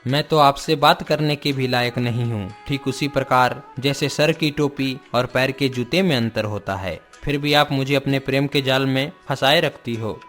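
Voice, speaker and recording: A man's voice: mid-range voice, moderate pace, few pauses, a bit bright, soft background music, lightly acted. Plaintive young commoner lover acted by a man. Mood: meek, reproachful. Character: humble, lovelorn commoner, secret lover of a princess.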